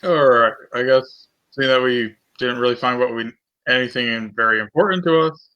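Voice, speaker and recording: A man's voice: mid-range voice, moderate pace, dull audio. A man bantering casually as a player in a tabletop role-playing game.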